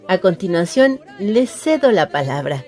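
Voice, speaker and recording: A woman's voice: on the low side, very animated, unhurried, a little mellow, soft background music. A woman hosting a podcast, reading a prepared welcome and an introduction of the guest. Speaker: podcast host.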